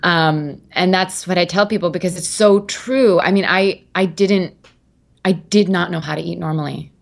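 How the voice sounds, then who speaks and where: Low-voiced woman, chatty and candid. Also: moderate pace, vocal fry. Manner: chatty, rambling, lots of fillers and restarts. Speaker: guest. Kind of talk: candid personal interview.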